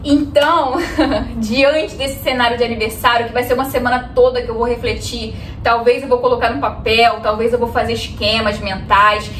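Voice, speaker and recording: A woman in her twenties, a vlogger talking earnestly to the camera with soft laughs between thoughts. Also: on the high side, fairly even intonation, moderate pace, slight background noise. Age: 20s. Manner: earnest, gestures constantly, often laughs softly. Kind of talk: reflective talk to camera. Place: room in front of a bookshelf, indoors. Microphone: camera a few steps away. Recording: echoey room, mic at a distance.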